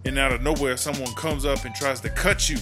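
A man playing a sassy, gossipy, foul-mouthed streetwise woman inmate in a comedy audio drama, with slangy, drawn-out exclamations. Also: mid-range voice, steady tone, close to an everyday voice. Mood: chatty. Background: music.

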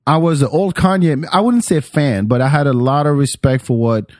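A man's voice: fairly high pitch, brisk, a little mellow, dry recording, studio quality. A man hosting a podcast in casual chat, opinionated and slangy, swearing freely. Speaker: podcast host.

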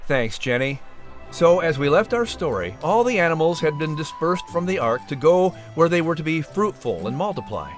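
A man's voice: fairly high pitch, sing-song, moderate pace, soft background music. A man hosting and narrating a scripted story for a family audiobook show. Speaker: host and narrator.

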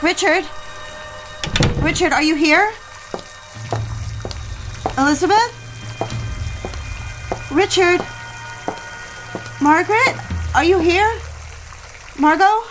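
An actress playing a frantic, devoted young secretary in an audio drama, pleading in halting, breathless phrases. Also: female voice, extremely high pitch, music in the background, rough recording. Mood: anxious, searching.

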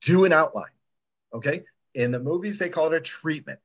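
A man's voice: fairly high pitch, brisk. A man talking casually as a podcast guest, blunt and emphatic, often checking in with his listeners. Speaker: writer and podcast producer, guest. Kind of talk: casual interview talk, giving tips.

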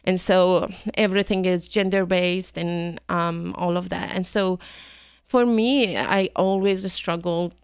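A middle-aged woman from Iran talks through her memories with frequent ums and easy laughter. Her voice sits on the low side with a gravelly edge, yet stays smooth and clear. The recording is close and dry. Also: steady tone, slow pace, no room sound. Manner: hesitant, many ums, laughs easily. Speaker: guest. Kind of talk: conversational interview.

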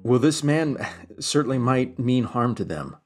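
A man's voice: mid-range voice, lively intonation, a little slow, faint music behind, close to an everyday voice. A man playing an earnest, worried investigator in a tabletop audio drama, pleading politely with a slight stammering delivery.

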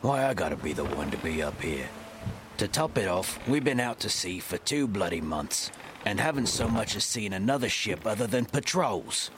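A disgruntled pirate lookout in an audio drama, his pitch moving widely through rough old sailor talk. He is sullen underneath yet obedient to rank, with clear ship noise around him. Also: sing-song, normal speed. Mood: bitter muttering.

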